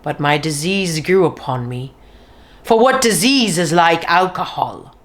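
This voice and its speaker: A woman reading a classic horror tale aloud in slow, deliberate dramatic narration, sometimes dropping to a near whisper. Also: on the low side, lively intonation. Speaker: reader of a classic horror story.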